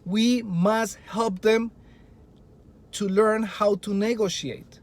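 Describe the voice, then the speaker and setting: Slow, earnest man with a Colombian accent. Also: sits high, fairly even intonation. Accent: Colombian Spanish. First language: Spanish. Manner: earnest and emphatic, with many rhetorical questions. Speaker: Spanish teacher speaking to fellow teachers. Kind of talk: presentation to teachers.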